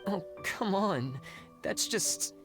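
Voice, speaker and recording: A woman playing a timid, flustered young candidate in a video game, with a hesitant, halting, mild delivery. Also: medium pitch, lively intonation, music in the background. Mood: flustered protest. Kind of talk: scripted video game voice acting.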